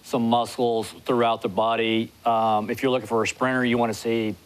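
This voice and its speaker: A man in his forties appearing as a guest handicapper on a studio talk show, talking in quick bursts with many restarts, recorded on a lapel mic. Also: mid-range voice, level tone, clean tone, low-quality recording. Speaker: guest horse racing handicapper. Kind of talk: studio interview. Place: TV studio with city skyline backdrop.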